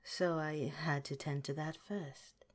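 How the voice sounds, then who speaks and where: A woman voicing a calm, caring woman scientist director in an ASMR roleplay, speaking gently and politely in a soft, whispery way. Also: low-pitched, slow. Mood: gentle, reassuring.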